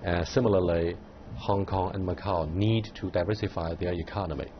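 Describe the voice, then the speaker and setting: A man hosting a studio panel, posing long questions with measured pacing and frequent hesitations. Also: low-pitched, steady tone, muffled recording, slight background noise. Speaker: host.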